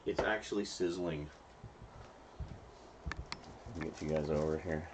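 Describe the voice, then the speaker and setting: Low-voiced man chatting in his kitchen. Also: unhurried, far from the mic, slight background noise. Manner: relaxed and rambling, full of fillers, chatting to viewers. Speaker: streamer cooking and cleaning. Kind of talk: casual live-stream chat. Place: home kitchen.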